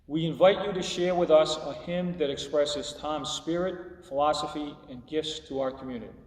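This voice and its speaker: A man reading a scripted tribute to a congregation in a measured way, pausing between phrases. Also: a little high, steady tone. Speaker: church service reader. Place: large church hall. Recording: echoey hall, distant microphone, long reverb.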